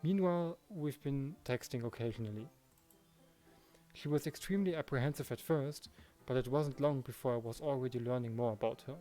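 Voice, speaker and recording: A man's voice: mid-range voice, brisk, mellow. A man reading first-person visual novel narration and the protagonist's lines in a dramatized way, with music behind him.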